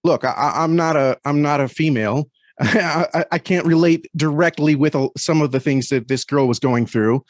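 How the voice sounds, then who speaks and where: A man talking casually as an interview guest, earnest and reflective, with halting phrasing. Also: fairly high pitch, no room sound, clean studio sound. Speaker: podcast guest and story critic.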